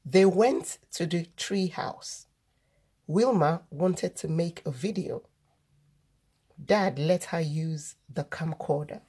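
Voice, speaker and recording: A woman reading a children's storybook aloud, deliberately, word by word, as the host of a read-aloud. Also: lowish voice, warm tone, breathy edge. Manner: deliberate, word-by-word delivery for early readers.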